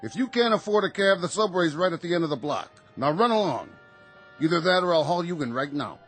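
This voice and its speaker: Bossy beat cop, highish voice. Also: male voice, lively intonation, fairly quick, a bit bright, faint music behind. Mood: stern, impatient. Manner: curt, scolding, colloquial. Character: gruff, impatient street policeman, bossy and streetwise. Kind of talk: old-time radio drama acting.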